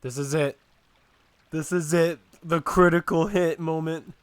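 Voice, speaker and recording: Low-voiced, slow-paced woman gamer. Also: steady tone, no echo. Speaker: gamer commenting on playthrough. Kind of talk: casual live game commentary.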